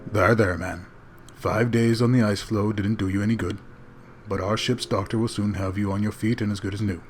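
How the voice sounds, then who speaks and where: A ship's captain, played by a man with a lowish voice over faint music. He sounds steady and in command but kindly, as in an old seafaring audio play. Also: normal speed, lightly acted. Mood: kindly, reassuring. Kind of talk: audio drama acting.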